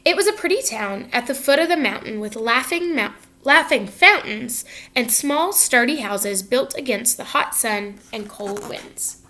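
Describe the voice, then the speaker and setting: A woman with a high voice reads a children's picture book aloud as a teacher would. Her delivery is expressive and instructive, with pauses to check that young listeners follow along. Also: a little hiss or hum. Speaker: teacher reading to students.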